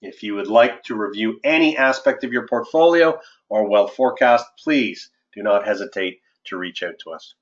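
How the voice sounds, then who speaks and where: A man's voice: fairly low pitch, expressive, normal speed. Man presenting on a dull-sounding call. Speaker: host, investment adviser. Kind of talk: webinar presentation, partly scripted market commentary. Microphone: conference call line. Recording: dull, lo-fi conference-call audio.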